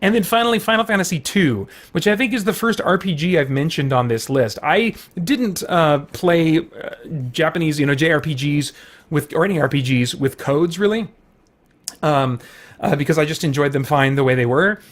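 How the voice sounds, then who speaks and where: A man's voice: fairly high pitch, normal speed. A middle-aged man hosting a video with casual, rambling, enthusiastic chat full of loose asides. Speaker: video host.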